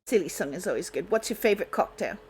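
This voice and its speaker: A woman's voice: fairly low pitch, animated, fast talker, darkish tone, husky. A woman hosting a radio show in a casual, conversational style. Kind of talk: casual chat.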